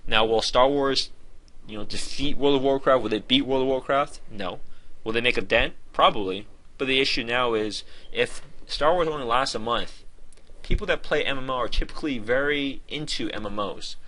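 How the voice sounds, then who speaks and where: A man's voice: medium pitch, moderate pace, sharp tone, rough recording. A man giving an informal game review in a conversational, opinionated and emphatic way.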